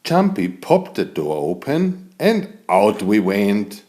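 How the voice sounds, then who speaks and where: A man narrating a scripted bedtime story for small children in an expressive, exclamatory way. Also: on the low side, takes it slowly. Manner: expressive, exclamatory, addressing young listeners.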